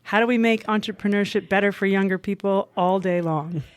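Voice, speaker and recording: A woman's voice: mid-range voice, brisk, no echo. A woman hosting a podcast, asking friendly open questions in a casual chat. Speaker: podcast host.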